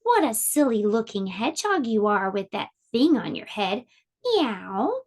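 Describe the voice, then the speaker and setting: Smirking barn cat, storybook, lively; woman reader puts it on. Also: a little high, a little slow. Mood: mocking. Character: male barn cat, sly and mocking.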